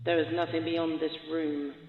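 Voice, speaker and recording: A man's voice: on the high side, little pitch movement, moderate pace. A man playing a cold, clinical prison experiment overseer in an audio drama, formal, detached and menacing, through an echoing announcement filter. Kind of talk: scripted audio drama monologue. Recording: muffled phone-like sound, echoey long reverb.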